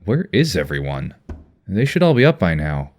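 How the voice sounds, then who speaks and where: A man's voice: low voice, very animated, moderate pace, darkish tone. A man playing an earnest, caring team leader in an audio drama, speaking with sincere concern. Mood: uneasy.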